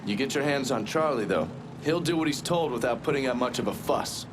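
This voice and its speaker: A mid-pitched man played by an actor with clear outdoor noise around him. He is a cynical game operative, blunt and wry in his briefing. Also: expressive, brisk, close to an everyday voice. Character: gruff, cynical male intelligence operative briefing a partner. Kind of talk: video game dramatic acting.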